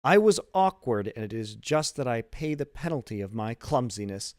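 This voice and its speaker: A man's voice: mid-range voice, big pitch swings, moderate pace, a little mellow, no echo, studio quality, natural voice. A man voicing a stoic, polite injured sailor in an audiobook, with formal, emphatic delivery. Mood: pained, insistent. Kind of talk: audiobook character dialogue, literary.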